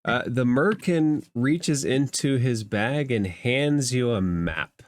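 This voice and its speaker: A man's voice: medium pitch, slow. A man acting as game master, improvising narration for a tabletop roleplaying game.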